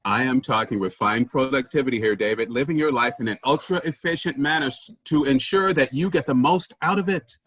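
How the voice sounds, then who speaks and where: A man's voice: medium pitch, a little fast, hardly any pauses, fairly bright tone, dry recording, lightly acted. A man in a staged comedy reading playing a pushy, self-important office boss who preaches efficiency emphatically. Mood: insistent, boastful.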